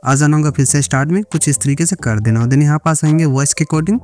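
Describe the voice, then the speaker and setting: A man's voice: on the high side, fast, a little mellow, faint music behind. An Indian man narrating a step-by-step editing tutorial, mixing English words into repetitive instructions. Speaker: video editing tutorial creator.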